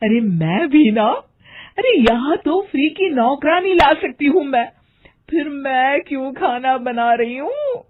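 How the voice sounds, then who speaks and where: Scheming middle-aged village stepmother acted by a woman, high-pitched, theatrical scolding and fake sweetness, slightly dull recording. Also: animated, takes it slowly. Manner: shrill scolding, exaggerated sweetness, dramatic complaining.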